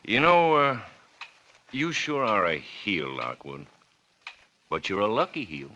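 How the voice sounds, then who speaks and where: A man's voice: fairly low pitch, wildly swooping pitch, full character voice. An American actor playing a sly, folksy police captain who needles his suspect with insinuating talk in a 1940s radio drama. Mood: contemptuous. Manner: folksy, insinuating, drawn-out deliberate teasing. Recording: noisy old 1940s radio recording with clicks.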